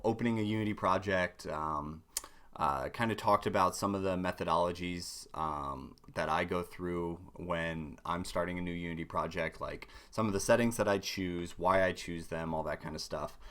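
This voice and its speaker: A man with a hoarse voice from being sick, hosting a casual live-stream game development tutorial and rambling with many ums and restarts. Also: deep voice, fairly bright tone. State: hoarse from illness.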